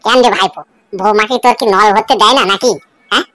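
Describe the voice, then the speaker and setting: A woman's voice: mid-range voice, fast talker, a bit bright, natural voice. A woman voicing a nosy, bawdy widowed older village uncle in a cartoon comedy, prying in coarse slang. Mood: mock sympathy.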